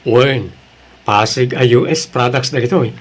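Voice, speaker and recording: A man's voice: mid-range voice, normal speed, close to an everyday voice. A man playing a genial, joking co-worker in an audio drama.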